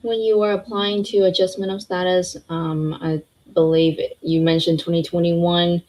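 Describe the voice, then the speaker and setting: A woman's voice: fairly low pitch, level tone, moderate pace, a little mellow, rough recording. A woman hosting a live interview, asking questions in a friendly way with many fillers. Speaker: host.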